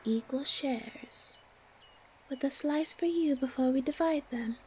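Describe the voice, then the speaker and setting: A woman playing a haughty, suspicious, disdainful spider monster in a fantasy audio roleplay, with a breathy, often whispery voice. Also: sits high, dark tone, slight background noise. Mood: grudging, disdainful. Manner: slow, disdainful, haggling.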